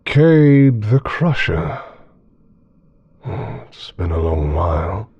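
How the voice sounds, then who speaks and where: A man playing a powerful, haughty immortal with cold menace, speaking deliberately and condescendingly with theatrical pauses in a dark fantasy audio drama. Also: on the low side, big pitch swings, gravelly, husky, dry recording, dull audio, exaggerated voice. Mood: mocking, menacing.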